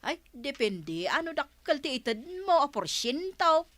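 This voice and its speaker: A woman's voice: high voice, big pitch swings, measured pace, a bit bright, no room sound, heavily acted. A woman playing an assertive, bargaining village woman with an Ilocano accent and a pushy, questioning manner in an audio drama.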